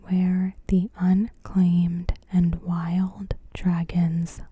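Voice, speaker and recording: A woman softly narrating a scripted recap in ASMR style, in a breathy whisper with careful phrasing. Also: lowish voice, monotone. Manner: soft-spoken, unhurried, careful phrasing. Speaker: ASMR creator.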